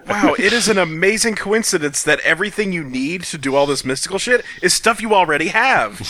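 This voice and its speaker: Male podcast co-host, bright, animated, sardonic asides, dry recording. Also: high voice, normal speed, no echo. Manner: wry jokey asides. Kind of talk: comedic podcast banter.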